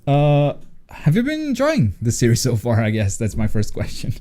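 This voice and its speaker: A man's voice: medium pitch, huge pitch swings, moderate pace, warm tone. A man hosting a livestream and chatting casually and informally with viewers. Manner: informal, swears freely. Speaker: livestream host.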